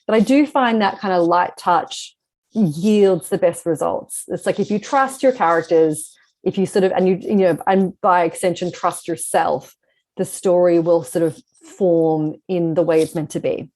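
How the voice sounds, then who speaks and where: A woman's voice: lowish voice, normal speed, a little mellow. A woman speaking as a guest author in a casual interview, explaining things in a reflective way.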